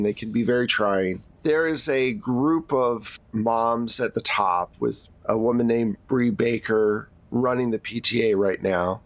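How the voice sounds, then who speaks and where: A man's voice: mid-range voice, fairly bright tone, no echo. Man playing a guarded, weary middle-aged school administrator. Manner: hesitant, diplomatic, choosing words carefully.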